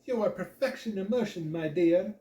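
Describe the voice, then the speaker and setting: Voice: medium pitch, normal speed, warm tone, natural voice. A man voicing a stern, gushy female dance instructor with a French accent in a storybook. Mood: gushing. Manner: effusive when praising, barking when displeased. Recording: echoey room.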